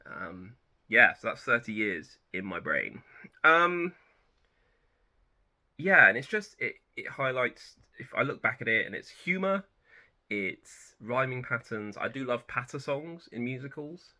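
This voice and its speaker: A man in his thirties hosting a book channel, chatting casually about personal favourites in a relaxed, rambling way that often corrects itself. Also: mid-range voice, measured pace. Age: 30s. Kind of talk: casual personal chat to camera.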